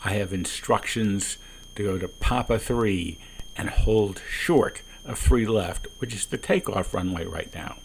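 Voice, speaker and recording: Male pilot narrator, deliberate and explanatory, low fry-edged delivery, small-plane cockpit with light noise. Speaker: private pilot narrating own flight. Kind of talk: calm explanatory cockpit commentary. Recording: noisy small-plane cockpit, radio transmissions.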